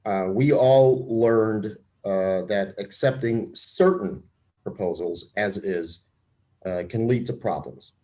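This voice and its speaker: A man with a fairly low voice hosts an online meetup over a video call. He talks in a loose, casual way, joking at his own expense and filling pauses with ums and uhs. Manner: relaxed, self-deprecating, many fillers. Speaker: meetup host.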